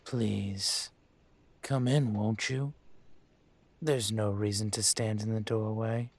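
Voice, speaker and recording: A man playing an aging dragon mafia boss in a roleplay audio drama, speaking in a smooth, deliberate, courtly manner with veiled menace. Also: lowish voice, fairly even intonation, dry recording. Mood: suave, courteous. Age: older.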